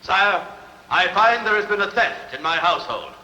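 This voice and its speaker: A man's voice: high voice, sing-song, normal speed, bright, forceful tone, exaggerated voice. A man dubbing an aggrieved, jealous ancient nobleman, speaking in a formal and pointed courtly manner. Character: aggrieved, jealous ancient nobleman accusing before his king. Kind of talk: dramatic film dubbing. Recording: long hall reverb.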